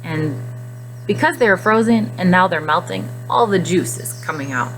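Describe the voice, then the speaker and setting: A woman teacher speaking to young children in simple, expressive phrases during a guided reading lesson. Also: on the low side, moderate pace, faint music behind, rough recording. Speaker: classroom nutrition teacher.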